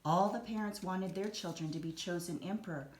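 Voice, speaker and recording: Low-voiced woman reading a storybook, roomy sound. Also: brisk, darkish tone. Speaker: teacher reading a storybook aloud. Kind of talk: expressive children's storybook narration. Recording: roomy echo, some background noise.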